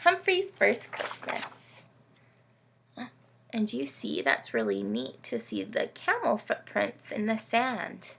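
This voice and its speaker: Woman, children's read-aloud host. Very lively pitch, heavy creak, teacherly and question-led. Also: slow, a little hiss or hum. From Canada. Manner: animated, teacherly, asks listeners questions. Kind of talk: children's storytime presenting.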